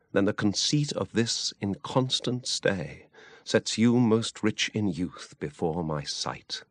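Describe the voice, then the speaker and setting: A man's voice: low-pitched, dry recording, studio quality. A man reading classic poetry aloud in a solemn recital. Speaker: poetry reader.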